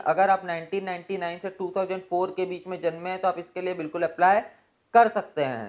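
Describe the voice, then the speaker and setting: A woman hosting an informational explainer for viewers, going through details methodically point by point and mixing in many English terms. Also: fairly low pitch. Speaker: host of an exam and job information channel.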